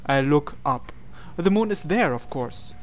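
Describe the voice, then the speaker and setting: A man with a somewhat high voice narrates a visual novel playthrough. He reads the story text dramatically and adds his own commentary as host. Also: lively intonation, unhurried. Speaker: host reading a game aloud.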